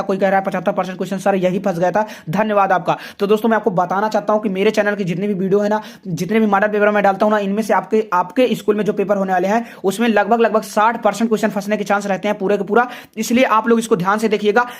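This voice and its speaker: Chatty man walking through an exam paper. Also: high voice. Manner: chatty, calls students "friends", repeats words often. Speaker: teacher. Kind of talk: exam paper walkthrough lesson.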